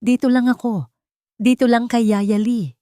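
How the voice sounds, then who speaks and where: A woman's voice: fairly high pitch, fairly even intonation, unhurried, warm tone, no room sound, studio quality, pitched above the performer's own voice, natural voice. A woman voicing a frightened, clinging small boy with a small voice in an audio drama story. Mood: desperate crying.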